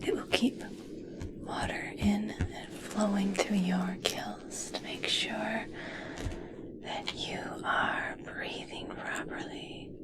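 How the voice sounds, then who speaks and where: A slow, breathy whisper from a woman acting as a female scientist in an ASMR roleplay. She is obsessed and awestruck, speaking haltingly and with gentle fascination, as if studying a rare creature up close. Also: mid-range voice, little pitch movement, natural voice. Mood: fascinated, gentle.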